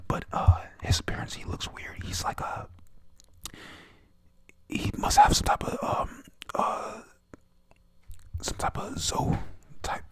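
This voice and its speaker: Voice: fairly bright tone, no room sound. A speaker whispering a casual recap of a comic in the style of ASMR, hesitant and stumbling over names.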